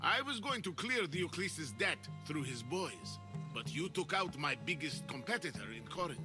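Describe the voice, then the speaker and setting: A man's voice: on the high side, very animated, normal speed, over music. A man acting a smug, menacing smuggling boss in a video game, with mocking, theatrical delivery. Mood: cool menace.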